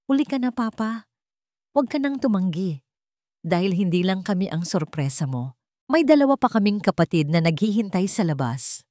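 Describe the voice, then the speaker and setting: A woman's voice: medium pitch, big pitch swings, moderate pace, darkish tone, no echo, clean studio sound, close to an everyday voice. A woman voicing a bold, serious seven-year-old boy in an audio drama. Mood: defiant, cocky.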